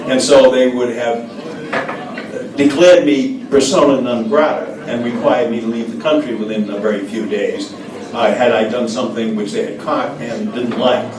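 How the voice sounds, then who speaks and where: A man's voice: mid-range voice, steady tone, normal speed. Older American man telling stories in an echoey café. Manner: anecdotal, hesitant with many fillers. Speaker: former American diplomat guest. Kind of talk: storytelling interview answers. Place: café event room. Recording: echoey room, distant mic, background noise.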